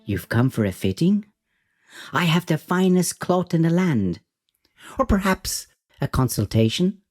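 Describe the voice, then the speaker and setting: A man's voice: a little high, very animated, measured pace, darkish tone, faint music behind, voice pushed up. A man voicing an anxious, penniless village tailor in an audio folk tale, with a pleading, questioning, theatrical manner. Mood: eager.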